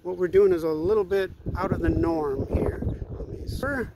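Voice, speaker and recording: Higher-voiced older man, outdoors. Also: moderate pace. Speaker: ham radio hobbyist vlogger. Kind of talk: casual explanatory chat to camera. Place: outdoors in a residential front yard. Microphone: phone at arm's length. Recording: outdoor background noise.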